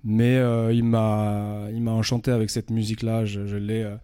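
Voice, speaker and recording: A man's voice: on the low side, level tone, normal speed, dark tone, clean tone, dry recording, clean studio sound. A man in his thirties from France, a radio guest chatting casually, hesitant with many fillers and restarts. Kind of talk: casual interview talk.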